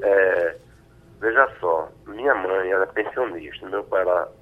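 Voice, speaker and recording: A man calling in to a radio show by phone, explaining his family situation in a hesitant, halting way. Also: deep voice. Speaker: radio caller. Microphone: phone held away from mouth. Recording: telephone line, faint from the mic.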